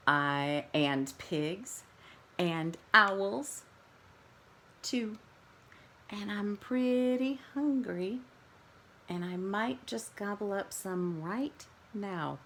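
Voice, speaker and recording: Woman as a hungry wolf, sly, very slow, deliberate, picture-book villain. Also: mid-range voice, fairly bright tone. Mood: sly, menacing. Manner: slow, drawn-out, deliberate delivery. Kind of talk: children's picture book read-aloud.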